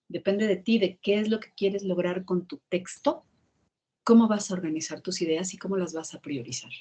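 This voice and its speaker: A woman, giving an online talk on writing in a lively, emphatic way and repeating key words. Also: medium pitch. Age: 50s. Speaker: guest speaker on writing. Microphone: video call.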